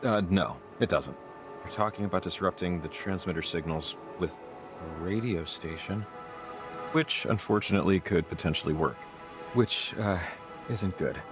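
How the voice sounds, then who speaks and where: A man's voice: sits low, normal speed, over music, natural voice. An actor playing a jittery, well-meaning local man in a video game, with a hesitant, rambling manner. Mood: uneasy. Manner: rambling, hesitant, self-deprecating. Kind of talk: naturalistic video game acting.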